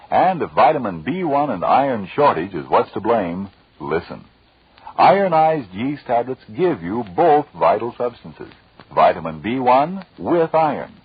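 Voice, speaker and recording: A man announcing in a grave, deliberate, dramatic 1940s radio style. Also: fairly low pitch, slightly rough, no echo. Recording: old muffled radio recording.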